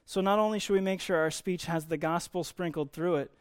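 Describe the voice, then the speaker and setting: An American man giving conversational Bible teaching, informally explaining and reading scripture, slowing down to stress key phrases. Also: high voice, clean tone.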